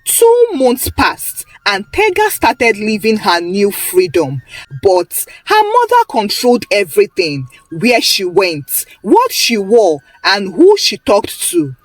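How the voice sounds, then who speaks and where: A woman's voice: mid-range voice, huge pitch swings, takes it slowly, dry recording. A woman with a Nigerian accent narrating a scripted story in a dramatic storytelling style.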